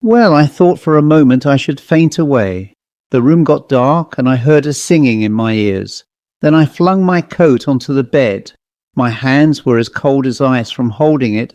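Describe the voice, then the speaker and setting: A man reads a ghost story as a scripted first-person narration. His voice is mellow and softly toned, captured close and dry. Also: mid-range voice, dry recording. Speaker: audiobook narrator.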